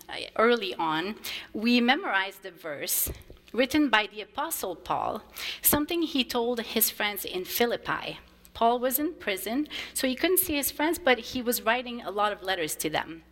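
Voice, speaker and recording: A woman whose first language is French, a choir director, gives a gentle children's message in church. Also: mid-range voice. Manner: warm, simple storytelling to children. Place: church sanctuary. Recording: long reverb of a church sanctuary.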